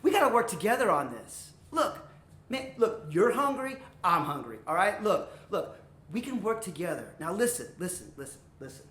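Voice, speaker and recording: A bright, swooping, cocky billy goat acted out by a woman storyteller in an echoey room. This folktale schemer wheedles in slang and repeats words to persuade. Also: medium pitch, very animated, moderate pace. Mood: wheedling. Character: cocky, fast-talking, scheming middle brother billy goat. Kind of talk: animated comic folktale storytelling.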